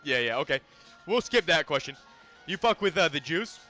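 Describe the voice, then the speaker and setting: A male streamer talks over his game in a bright, sharp-edged voice that keeps jumping into loud, cursing outbursts. Faint music sits under his voice. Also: a little high, normal speed. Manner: loud, profane, excitable outbursts. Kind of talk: casual live gameplay commentary.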